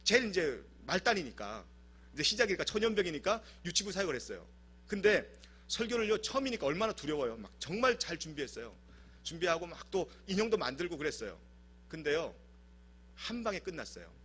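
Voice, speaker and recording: Male pastor from South Korea, husky tone, humorous animated preacher. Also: on the high side, brisk. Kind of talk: sermon. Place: church service.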